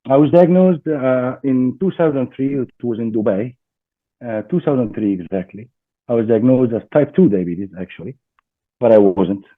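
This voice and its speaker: Egyptian guy on a video call, lowish voice, kinda tinny and dry, lots of ums. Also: no echo. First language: Arabic. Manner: hesitant, many fillers and restarts. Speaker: guest. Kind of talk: casual interview.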